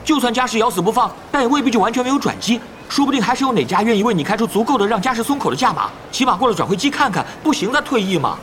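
An actor playing a chatty, nosy young male pro gamer who pesters rapidly in an audio drama. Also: extremely high pitch, expressive, a bit bright, a little hiss or hum. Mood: pestering. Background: background music.

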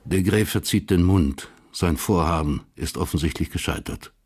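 A man reading scripted literary narration for a German radio crime drama in a sober, measured, detached way. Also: low-pitched, darkish tone, husky.

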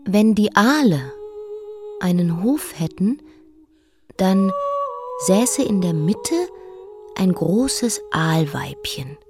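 A young queen narrates her life in a radio play. She is defiant and speaks at a medium pitch, with faint music behind her. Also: lively intonation, very slow, long pauses. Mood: hushed, musing.

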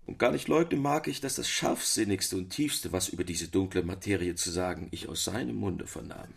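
A thoughtful Romantic man of letters in an audio play. His voice is low and gravelly, with a husky edge. His sentences are formal and literary. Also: full character voice. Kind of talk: scripted literary dialogue.

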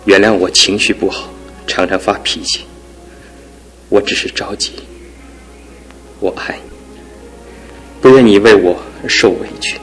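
An actor in a radio drama playing a despairing young man crippled in one leg, his talk swinging from hushed to anguished outbursts. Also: sits low. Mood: remorseful. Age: young adult. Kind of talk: broadcast radio drama acting.

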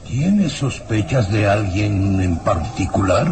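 A man playing a haughty, sinister, imperious baron in an old radio serial, with pompous and menacing drawn-out phrasing. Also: on the low side, expressive. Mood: suspicious. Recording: noisy old radio drama recording.

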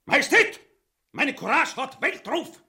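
High, wheedling Viennese captain. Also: male voice, big pitch swings, unhurried, sharp tone, full character voice. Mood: boastful, eager. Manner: obsequious, melodramatic, boastful. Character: wheedling, boastful fugitive male army captain pleading for mercy. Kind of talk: satirical radio play acting.

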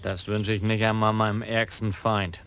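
An actor playing a calm, tall Frisian police lieutenant in a radio crime drama, slow and plainspoken. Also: male voice, fairly low pitch, slight background noise. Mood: quiet.

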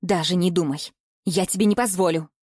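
A woman's voice: fairly low pitch, fairly quick, a little mellow, breathy edge, dry recording, clean studio sound. A woman narrator voicing an embittered, controlling middle-aged mother in indignant, accusing outbursts for an audiobook. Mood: wounded fury.

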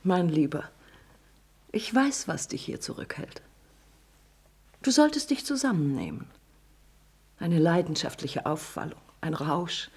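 A woman's voice: lowish voice, very animated, unhurried, long pauses, breathy edge, exaggerated voice. An actress playing a proud, vain middle-aged actress and mother in a stage drama, scornful then soothing. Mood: coaxing. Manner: swings between scorn and soft whispers.

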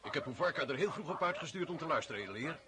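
A man's voice: fairly high pitch, lively intonation, very fast, crowd noise, close to an everyday voice. An actor playing a deferential huntsman servant reporting to his master, in a hissy vintage radio play. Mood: respectful. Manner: respectful, reporting. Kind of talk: vintage radio drama acting.

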